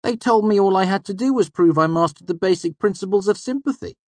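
A man's voice: high voice, fast, dry recording, voice pushed up. A man voicing an eager, earnest young male student in a storybook, polite and a little hedging. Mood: unsure.

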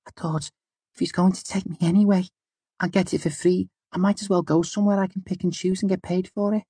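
A man's voice: high voice, flat delivery, fairly quick, mellow, slightly rough, no echo, voice pushed up. A man voicing a young, naive runaway girl in an audiobook, distressed, plaintive and defiant. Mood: hurt, defiant. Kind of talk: audiobook character dialogue.

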